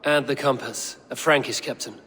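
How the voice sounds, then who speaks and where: An actor playing a grim, shrewd male Viking raider in a video game, speaking in a measured, terse way. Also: medium pitch, fairly even intonation, fairly bright tone, a little hiss or hum, natural voice. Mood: wary, curious.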